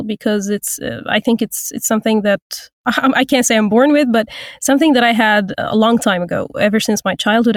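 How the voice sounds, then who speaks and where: A woman in her thirties from Egypt, a voice actor and language teacher, speaking thoughtfully with frequent pauses and restarts in an interview. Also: fairly high pitch, no room sound, studio quality. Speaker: guest, voice actor and Turkish teacher. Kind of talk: casual interview.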